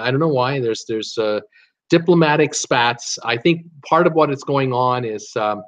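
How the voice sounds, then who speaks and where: A man's voice: mid-range voice, muffled recording. Chatty man in his sixties on a webcam. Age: 60s. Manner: rambling, chatty, frequent "uh" fillers, animated hand gestures. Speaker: cruise travel YouTuber hosting a livestream. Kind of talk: casual live-stream chat reading viewer comments. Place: home office with shelves of cruise mugs, indoors.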